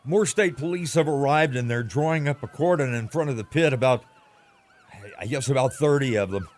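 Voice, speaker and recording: An actor playing a frightened radio reporter in an old-time radio drama, stammering through an eyewitness account. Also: male voice, mid-range voice, brisk, a little hiss or hum, lightly acted. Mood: uneasy watchfulness. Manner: stammering, breathless eyewitness description. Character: frightened male radio reporter at alien landing site.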